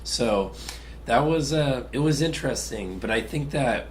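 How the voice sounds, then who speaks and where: A man co-hosting a casual soccer talk show, his voice raspy from a cold, relaxed and analytical with hesitant restarts. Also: mid-range voice, unhurried, a little hiss or hum. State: getting over a cold. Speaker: podcast co-host. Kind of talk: casual sports chat.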